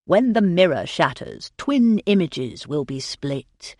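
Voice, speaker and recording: A man's voice: on the high side, very animated, slow pace, darkish tone, no echo, clean studio sound, heavily acted. A woman voicing a theatrical male medium who growls out dramatic prophecies. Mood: ominous. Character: theatrical male spirit-writing medium, charlatan.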